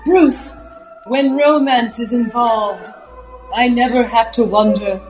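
Sultry, devoted mistress, high voice, unhurried delivery, melodramatic classic radio serial. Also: female voice, fairly even intonation, a little mellow, faint music behind. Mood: sultry, adoring. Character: sultry, adoring lover of a movie star. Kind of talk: improvised old-time radio drama acting.

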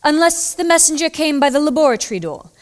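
A deferential Victorian servant, acted by a woman, with a high voice. She is polite and helpful, in the style of a period audio drama. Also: animated, fast talker. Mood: puzzled, helpful.

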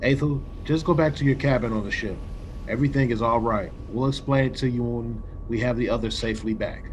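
A man playing a stern, practical colonial executive in a classic radio play, speaking with measured authority. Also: medium pitch, a little hiss or hum, natural voice, low-quality recording. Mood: calm, reassuring.

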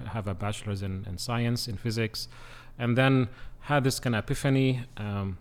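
Interview guest, man in his thirties, animated and jokey, explains at length. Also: fairly low pitch. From Palestine. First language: Arabic. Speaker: guest, doctoral student. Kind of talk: interview conversation.

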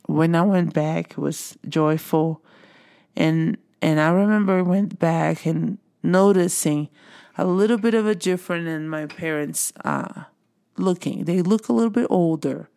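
A middle-aged Brazilian woman speaks English with her native Portuguese accent, in an earnest motivational talk to viewers that leans on repeated phrases. Her voice sits a little low and dark, with a gravelly fry, and she speaks slowly. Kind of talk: encouraging monologue to viewers.

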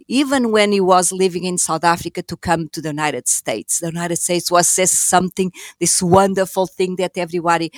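A woman from Portugal with a Portuguese accent, a lively storyteller telling her story as a podcast guest. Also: on the low side, no echo. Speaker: guest, store operator. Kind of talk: podcast interview.

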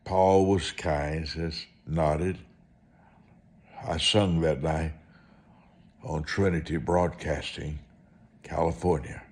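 Slow, deep-voiced male preacher. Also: steady tone, a little mellow. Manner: emphatic, deliberate short phrases with repetition for effect. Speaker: preacher and author telling testimony. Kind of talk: storytelling sermon monologue.

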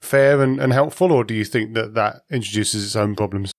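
A man's voice: on the low side, a little fast, no echo, studio quality. A male podcast host asking friendly, long, multi-part interview questions.

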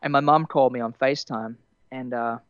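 A young man with an Australian accent softened by years in America, chatting loosely in an interview with plenty of fillers and restarts. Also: medium pitch, steady tone, fairly quick, smooth, dry recording, muffled recording. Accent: Australian, partly Americanized. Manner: rambling, many fillers and restarts, laughs easily. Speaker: musician guest. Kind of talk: casual interview chat.

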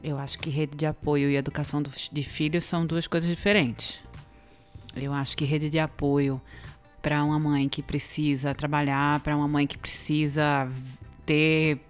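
A woman's voice: low voice, little pitch movement, moderate pace, faint music behind. A woman with a Brasília accent, talking reflectively as a guest on a podcast. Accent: Brazilian, Brasília. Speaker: podcast guest, parenting educator. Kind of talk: reflective conversation.

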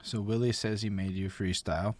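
A man's voice: on the low side, steady tone, brisk, no echo. A man hosting a casual interview, prompting his guest with easygoing questions. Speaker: host.

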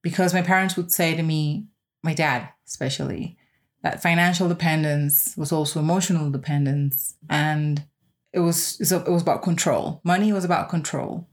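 Woman, somewhat low voice, personal podcast monologue, reflective, emphatic phrasing. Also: fairly even intonation, normal speed. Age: 30s or older. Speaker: podcast host.

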